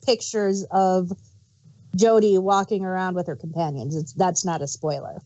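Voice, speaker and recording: A woman co-hosting a casual review podcast, speaking in a halting way and often restarting her sentences. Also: on the low side, steady tone, dark tone, no room sound. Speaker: podcast co-host reviewing audio dramas. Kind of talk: casual unscripted chat. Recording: dull, dry podcast microphone.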